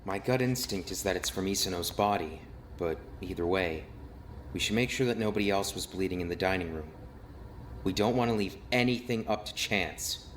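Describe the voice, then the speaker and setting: An actor playing an uneasy, blunt young man investigating a murder in an animated mystery game, hesitant and easily agitated. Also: sits low, moderate pace, slight background noise. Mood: focused, blunt.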